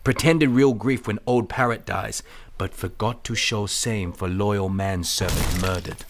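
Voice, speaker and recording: Guy doing calm wise chinese-american detective, low voice, polite clipped broken english, old radio mystery. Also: vocal fry. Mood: calm, stern. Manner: clipped broken English, aphoristic, measured and formal.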